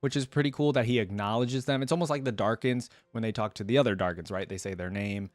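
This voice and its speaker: A man's voice: lowish voice, fast talker, no echo. A man hosting a reaction video, giving casual, unscripted commentary to viewers in a rambling, conversational way.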